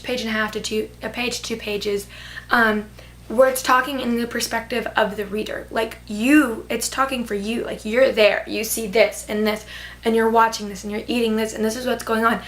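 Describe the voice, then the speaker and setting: A young woman reviewing a book she loved in a casual, rambling vlog, hoarse as she loses her voice. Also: fairly high pitch, fairly even intonation, moderate pace. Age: teens. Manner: gushing, rambling with many "like"s. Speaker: book vlogger.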